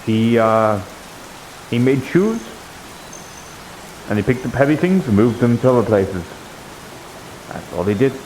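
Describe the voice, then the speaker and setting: A man playing an earnest, devout, plain-spoken holy warrior in an improvised fantasy roleplay, speaking solemnly and haltingly. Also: medium pitch, sing-song, a little mellow, a little hiss or hum, low-quality recording. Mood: earnest, reflective. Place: live online VR roleplay stream.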